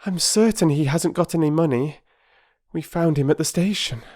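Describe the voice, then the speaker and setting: A man's voice: a little high, big pitch swings, measured pace, darkish tone, pitched above the performer's own voice. A man voicing an earnest young girl who talks breathlessly and pleads in a storybook reading. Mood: urgent pleading.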